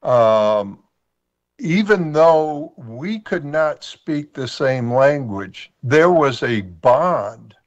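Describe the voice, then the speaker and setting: A man hosting a radio talk show, speaking in a measured, thoughtful, conversational way. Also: mid-range voice, expressive, muffled recording. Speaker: radio host.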